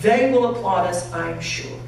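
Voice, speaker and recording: A woman's voice: lowish voice, unhurried, a little mellow, a little hiss or hum. Reader as a firm, loyal woman, echoey church. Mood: fierce loyalty. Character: loyal, slightly grim, fiercely supportive woman. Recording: very echoey church, distant mic.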